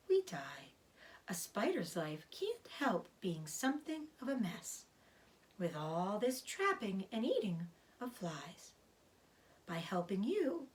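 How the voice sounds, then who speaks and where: A woman voicing a gentle, weary, dying female spider in a children's storybook, softly and slowly, often near a whisper. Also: medium pitch. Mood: gentle, reflective. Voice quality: soft, breathy, often whispered. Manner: slow, measured, soothing delivery. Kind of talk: children's storybook read-aloud.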